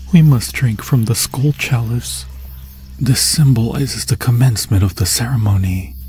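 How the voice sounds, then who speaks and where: A man voicing an obsessed, possessive demon lord in an ASMR horror roleplay, husky and breathy, often dropping into a whisper, with grandiose, seductive phrasing. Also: lowish voice, warm tone. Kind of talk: ASMR fantasy horror roleplay, theatrical monologue. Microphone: close, dry microphone.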